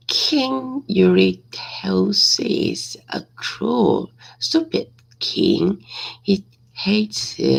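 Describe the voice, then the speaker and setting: A woman reading a simple story aloud as practice, hesitantly, repeating and correcting words, with a raspy, creaky voice. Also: lowish voice, poor audio.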